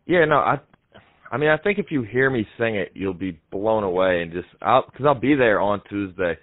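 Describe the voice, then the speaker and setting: A young man speaks in a low voice with a slightly dulled, dry sound. He rambles earnestly, as a prank caller improvising on the phone. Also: lively intonation, moderate pace, no room sound, phone-quality sound. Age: young adult. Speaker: prank caller on a comedy show.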